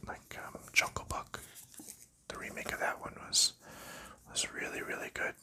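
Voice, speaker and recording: A man talks in a steady whisper throughout, with a strikingly bright, crisp edge to the air in his voice. He rambles casually about whatever comes to mind, stopping for ums and small restarts as he handles game pieces. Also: moderate pace. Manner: soft rambling chatter with frequent ums. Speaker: ASMR channel host. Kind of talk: whispered ASMR board game unboxing.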